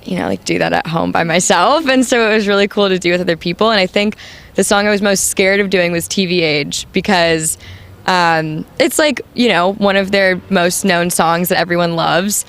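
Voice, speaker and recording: A young adult woman with a high voice, the band's new singer, answering interview questions casually with many "like"s and "um"s. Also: normal speed, a bit bright. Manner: chatty, full of "like" and "um".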